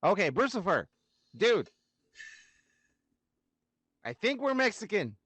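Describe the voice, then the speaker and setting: A man's voice: high-pitched, very animated, slow, a bit bright, breathy edge. A man co-hosting a podcast in laid-back, profane casual chat, mostly agreeing with his partner. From United States. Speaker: podcast co-host.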